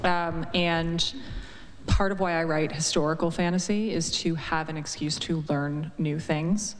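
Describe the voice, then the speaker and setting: An eager, enthusiastic woman author answering on a live panel. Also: sits low. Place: event hall. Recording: reverberant hall, faint noise.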